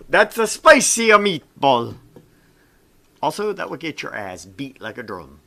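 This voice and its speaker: A man's voice: a little high, huge pitch swings, unhurried, vocal fry, pushed and bright. A man hosting a casual visual novel playthrough, reading text aloud and joking around in a goofy way. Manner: goofy, jokey, switches between reading and banter. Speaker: gaming show host and reader.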